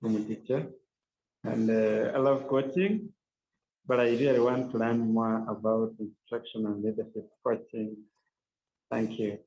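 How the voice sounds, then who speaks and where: A man from Uganda who is a teacher, quietly and politely introducing himself on a video call. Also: fairly low pitch, steady tone, unhurried, creaky. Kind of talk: online meeting self-introduction. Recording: dull distant call audio.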